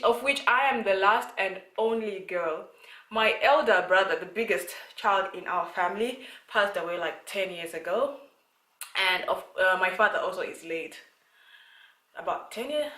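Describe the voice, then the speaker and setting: A woman's voice: medium pitch, fairly bright tone, reverberant, low-quality recording. A young woman from Zimbabwe talking casually to her channel's viewers, rambling with lots of fillers and restarts. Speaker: vlogger. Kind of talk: casual talk to camera. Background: child talking in the background.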